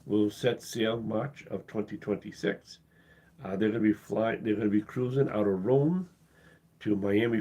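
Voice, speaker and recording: Casual live-stream host, man, low mellow husky voice going hoarse, halting delivery. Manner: halting, with many uh's and restarts. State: losing his voice, coughing. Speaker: cruise channel host.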